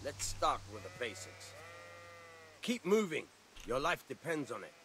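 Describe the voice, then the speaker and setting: An actor voicing a seasoned, brisk and blunt sword instructor in a medieval video game. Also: male voice, medium pitch, very animated, pushed and bright, a little hiss or hum, full character voice. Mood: brisk, instructing.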